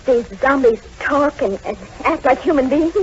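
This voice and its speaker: A woman's voice: high-pitched, normal speed, husky. A woman in a vintage radio horror play acting an anxious, horrified young woman with a hesitant, dramatic delivery. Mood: anxious, appalled. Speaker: radio actor. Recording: muffled, faintly noisy old radio recording.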